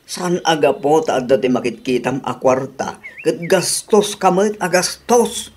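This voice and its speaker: A man's voice: lively intonation, unhurried, darkish tone, a little hiss or hum. A man with an Ilocano accent voicing a thrifty old father who lectures his daughter in a radio drama. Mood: scolding. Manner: lecturing, swooping pitch.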